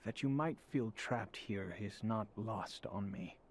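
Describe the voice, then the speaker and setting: Low-voiced, courtly young prince. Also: male voice, takes it slowly, a little hiss or hum. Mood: gracious. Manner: stately, archaic, polite phrasing. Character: courteous, formal young male crown prince. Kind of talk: video game cutscene acting. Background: music.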